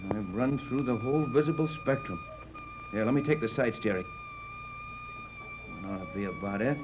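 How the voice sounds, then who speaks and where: An American man acting a gruff, practical sea captain and inventor in a 1930s radio serial, brisk and plain-spoken. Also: fairly high pitch, wildly swooping pitch, over music. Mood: intent, instructing. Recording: muffled, noisy 1930s radio recording.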